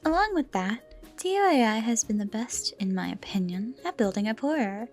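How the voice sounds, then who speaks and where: A woman giving scripted video-essay commentary with dramatic emphasis and hushed, breathy phrase endings. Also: a little high, animated, moderate pace. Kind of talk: scripted commentary on a horror series. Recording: music behind the voice.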